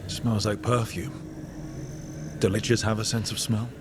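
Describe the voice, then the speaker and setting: An actor playing a wry, easygoing fantasy adventurer in a video game, talking in a casual, plainspoken, questioning way. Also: male voice, lowish voice, little pitch movement, unhurried, background noise, close to an everyday voice. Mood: curious. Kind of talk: video game dialogue acting.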